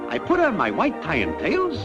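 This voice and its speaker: A man's voice: sits high, wildly swooping pitch, normal speed. An American actor playing a brash young newcomer to the afterlife, breezily telling his life story in slang. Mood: breezy. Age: young adult. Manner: breezy, wisecracking, packed with idioms. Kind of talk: classic cartoon comic narration. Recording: dull lo-fi cartoon soundtrack, loud music.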